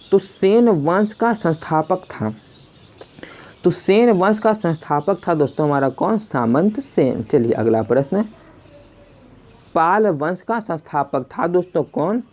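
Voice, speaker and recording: Exam quiz teacher, mellow mid-pitched voice, asks stuff then repeats it, calls listeners friends. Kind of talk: scripted question-and-answer exam lesson narration.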